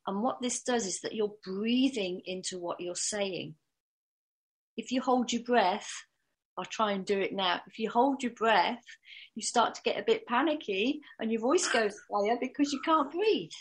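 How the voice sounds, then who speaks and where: A woman dog trainer teaching a workshop in a calm, steady voice that she pushes from the diaphragm. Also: on the high side, normal speed, breathy edge.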